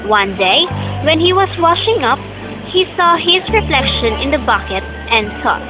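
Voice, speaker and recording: A woman's voice: high-pitched, sing-song, moderate pace, over music, poor audio. A young woman reading scripted storybook narration for an amateur audio drama. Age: young adult.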